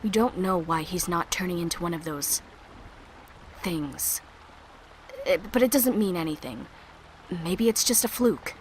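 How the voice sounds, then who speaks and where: A woman's voice: on the low side, a little slow, long pauses, slight background noise, lightly acted. A woman playing a wary, defensive young survivor in an audio drama, terse and challenging. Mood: guarded. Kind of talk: amateur audio drama acting.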